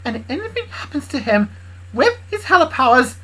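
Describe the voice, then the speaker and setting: A woman voicing a bossy young woman who worries over others and snaps into sharp scolding. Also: very high-pitched, big pitch swings, normal speed, heavy background noise, pitched above the performer's own voice. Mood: angry. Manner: pleading, then sharp and shouty. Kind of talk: improvised fan story voicing.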